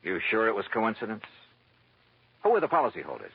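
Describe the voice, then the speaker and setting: An actor playing a wry, brisk, hardboiled insurance investigator in a golden-age radio drama. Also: male voice, on the low side, huge pitch swings, gravelly, husky, heavily acted. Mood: probing, firm. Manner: brisk, wry, clipped, world-weary. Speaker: lead actor and first-person narrator. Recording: dull vintage radio recording, music behind.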